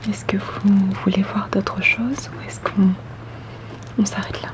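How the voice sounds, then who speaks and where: Woman doing asmr shop assistant roleplay, soft breathy whisper, gentle and polite, takes her time. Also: medium pitch, little pitch movement. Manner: gentle, polite, unhurried, attentive to the listener. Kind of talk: ASMR roleplay, customer service.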